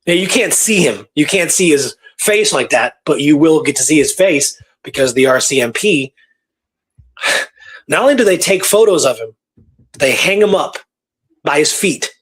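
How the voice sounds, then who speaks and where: A man's voice: fairly high pitch, lively intonation, moderate pace, a bit bright, husky. A man hosting a radio show, telling a story in a casual, conversational way and repeating phrases for emphasis.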